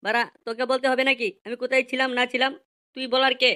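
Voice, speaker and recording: A woman voicing a crude, bullying, hard-drinking village husband in an amateur comedy cartoon, with a coarse blustering manner and artificially raised pitch. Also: flat delivery, quick pace, very noisy, natural voice. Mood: belligerent.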